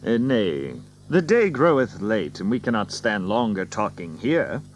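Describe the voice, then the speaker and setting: A man playing a bold, genial outlaw of medieval England, speaking courtly, measured archaic lines in an audio drama. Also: fairly low pitch, very animated, slight background noise. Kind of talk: audiobook radio drama acting.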